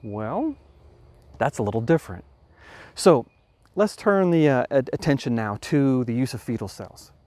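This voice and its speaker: A man hosting a podcast, talking through a moral question in a thoughtful, measured way, restarting phrases as he reasons aloud. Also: medium pitch, animated, a little mellow, dry recording. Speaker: podcast host. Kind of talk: explanatory talk on ethics.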